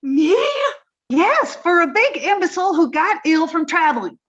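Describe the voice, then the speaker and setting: A woman's voice: very high voice, expressive, normal speed, a bit bright, muffled recording. A woman playing a brash older landlady in an amateur re-enactment of a 1950s radio comedy. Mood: gruff. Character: Mrs. O'Reilly, older landlady who sings badly.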